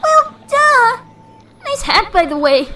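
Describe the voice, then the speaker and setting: Young female pony, brash, cocky, squeaky-high, storybook cartoon character, noisy audio. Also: sing-song, takes it slowly, sharp tone, exaggerated voice. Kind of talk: fan fiction audio reading, character voice.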